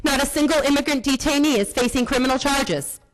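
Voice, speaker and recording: A woman speaking at a county board meeting, delivering an impassioned public comment in forceful, accusatory, repetitive rhetoric. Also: high voice, brisk, poor audio.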